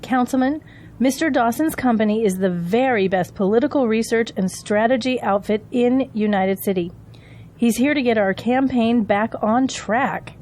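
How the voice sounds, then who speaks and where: An actress playing a loyal, assertive political campaign aide in an audio drama. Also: female voice, fairly high pitch, normal speed, a little mellow, close to an everyday voice. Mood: businesslike.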